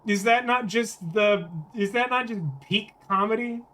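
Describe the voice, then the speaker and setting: High-voiced man streaming a game. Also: unhurried, pushed and bright. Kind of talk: live game commentary mixed with reading dialogue aloud.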